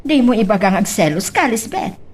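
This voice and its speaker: A woman's voice: medium pitch, animated, normal speed, darkish tone, breathy edge. A woman playing a snide, needling aunt in an Ilocano audio drama. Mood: mocking. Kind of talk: Ilocano radio-style drama acting.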